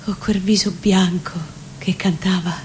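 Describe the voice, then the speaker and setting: A woman with a Roman accent playing a distraught working-class man confessing in dramatic dialect verse, pleading and halting. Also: lowish voice, fairly even intonation, darkish tone, natural voice. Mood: sombre confession. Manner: pleading, halting, emotive delivery. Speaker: reciting actress. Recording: live radio performance with background noise.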